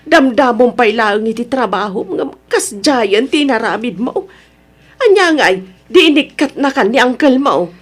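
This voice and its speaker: A woman's voice: a little high, animated, measured pace. A woman playing a worried, scolding middle-aged mother in a radio drama, reproachful but caring. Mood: scolding, dismayed. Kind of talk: radio drama acting in Ilocano.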